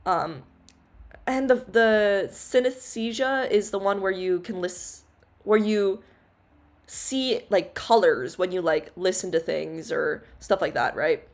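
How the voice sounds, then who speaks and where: Young female streamer, casual rambling Q&A, filler-heavy, giggly, low-ish loud voice. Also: takes it slowly. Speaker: VTuber streamer. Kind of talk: casual Q&A livestream chat.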